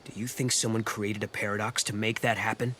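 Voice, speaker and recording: A man's voice: lowish voice, level tone, fairly quick, pushed and bright, a little hiss or hum. An actor playing a determined young male traveler in a video game, plain-spoken, casual and direct. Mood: serious. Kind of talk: video game cutscene acting.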